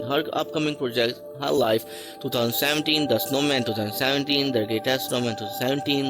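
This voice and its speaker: A man narrating a list video from a script, reading haltingly with stray articles and restarts. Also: medium pitch. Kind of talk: read-aloud list narration.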